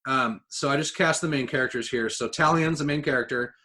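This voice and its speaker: A man co-hosting a podcast, talking in an enthusiastic, informal way as he pitches his ideas. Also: brisk, bright, forceful tone. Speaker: podcast co-host. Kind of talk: casual podcast chat.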